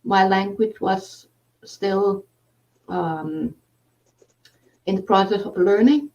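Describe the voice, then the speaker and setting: A woman host with a Danish accent, talking in halting casual conversation with many fillers. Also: mid-range voice, fairly even intonation, darkish tone. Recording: distant, dull lo-fi sound.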